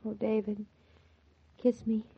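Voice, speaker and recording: An actress playing a tender-hearted young American newlywed wife in a 1940s radio drama. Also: female voice, a little high, flat delivery, slow, creaky, husky, exaggerated voice. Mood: wistful, tender. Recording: muffled 1940s radio transcription.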